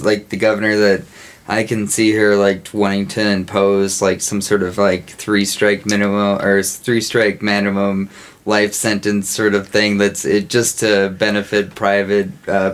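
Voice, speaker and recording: An American man with a fairly low voice chats casually about politics as a co-host. He voices strong opinions in a hesitant, stop-and-start way full of fillers. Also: level tone, unhurried. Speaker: podcast co-host.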